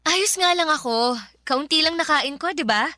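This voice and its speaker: An actress playing a blunt, snobbish young girlfriend with a bratty, sharp-tongued manner in a Filipino radio drama. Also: sits high, animated, normal speed, no room sound, studio quality. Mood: disdainful.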